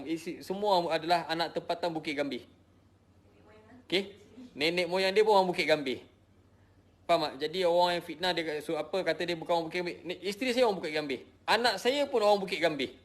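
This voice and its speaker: A middle-aged man from Malaysia with a Malaysian Malay accent, tired, defensively explaining himself to followers and repeating his points. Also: a little high. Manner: emotional, repetitive, defensive. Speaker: social media personality. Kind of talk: personal explanation to followers, casual.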